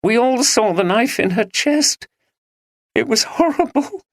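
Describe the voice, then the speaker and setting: A woman's voice: on the high side, lively intonation, unhurried, no echo, studio quality, pitched above the performer's own voice. A man voicing a distressed young woman witness who speaks earnestly. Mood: upset.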